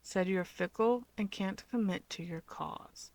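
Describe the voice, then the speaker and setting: Female reader as drowsy teen little brother for fiction: blunt, deadpan, slightly slurred mumble, dry recording. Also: medium pitch, unhurried, dry recording, natural voice. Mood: deadpan, needling.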